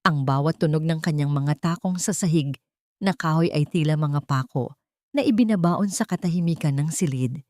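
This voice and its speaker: A woman's voice: low-pitched, moderate pace, a little mellow, no echo, studio quality. A woman storyteller reading scripted, dramatic narration for an audio story.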